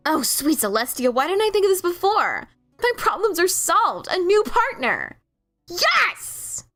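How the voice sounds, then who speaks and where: A woman playing an excitable, eager adventurer pegasus mare in a cartoon-style audio story, with animated delivery. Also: extremely high pitch, measured pace, no room sound, clean studio sound, faint music behind, heavily acted. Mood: ecstatic. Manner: animated, exclamatory. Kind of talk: fan audio drama cartoon acting.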